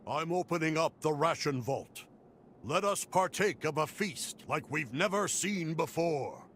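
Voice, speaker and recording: A man's voice: on the high side, expressive, measured pace, fairly bright tone. Game townsman: raised register, grand and jubilant, light background noise. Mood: celebratory. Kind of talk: video game cutscene acting.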